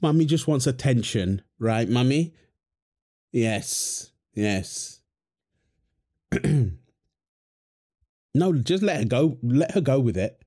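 Guy doing a young dad, warm voice, bouncy tone, playful and sweet. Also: medium pitch, lively intonation, slow pace, pauses often. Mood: playful teasing. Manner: playful, affectionate, laughs often. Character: doting young dad feeding his baby daughter. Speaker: voice actor in audio roleplay. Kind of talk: wholesome audio roleplay.

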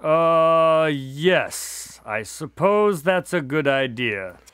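Earnest doctor sidekick for radio drama male actor, polite, plainspoken, lightish pitch with lively inflection. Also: extremely slow, clean tone, no echo, full character voice. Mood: practical, cautious. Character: earnest, mildly puzzled male doctor, detective's practical sidekick.

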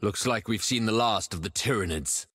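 An actor playing a gruff, battle-hardened space navy commodore in a video game, blunt and dramatic. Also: male voice, fairly low pitch, moderate pace, natural voice. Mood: grim, blunt.

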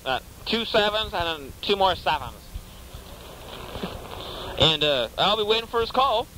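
High-voiced rambling prank caller. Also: male voice, expressive, sharp tone, slight background noise, rough recording. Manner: rambling, halting, fake naive, absurd. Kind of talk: prank phone call.

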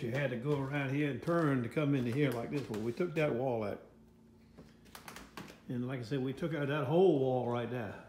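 A man's voice: medium pitch, darkish tone. Older american guy rambling on a phone vlog, plain folksy talk, roomy echo, bit of background noise. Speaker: vlogger. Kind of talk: casual rambling walk-through commentary. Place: inside a house under renovation, later outdoors. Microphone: handheld phone. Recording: echoey rooms, background noise, handheld.

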